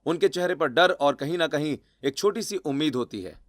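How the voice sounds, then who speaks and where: A man's voice: a little high, animated, moderate pace, no room sound, clean studio sound. A man narrating a scripted cartoon family story.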